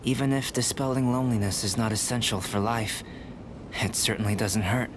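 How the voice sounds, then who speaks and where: An actor playing a calm, soft-spoken young alchemist who is an artificial being, speaking in a measured, reflective way in a game cutscene. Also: male voice, fairly low pitch, background noise, lightly acted. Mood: earnest. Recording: game soundtrack music behind.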